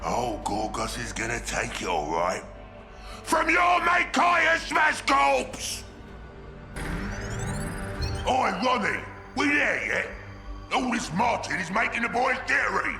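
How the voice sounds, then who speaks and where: A brutish ork warboss from a video game, with a gravelly Cockney bark that swings wildly in pitch. He is blunt, greedy and boisterous, bellowing over music. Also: sing-song, slow pace, pauses often, bright, forceful tone, exaggerated voice. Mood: menacing, suspicious. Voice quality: gruff, gravelly growl. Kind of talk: video game character acting.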